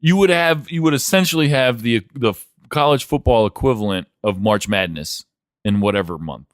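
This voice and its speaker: A man's voice: mid-range voice, moderate pace, no room sound, clean studio sound. A man co-hosting a casual sports chat, speaking bluntly and opinionatedly with plenty of swearing. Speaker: sports podcast co-host.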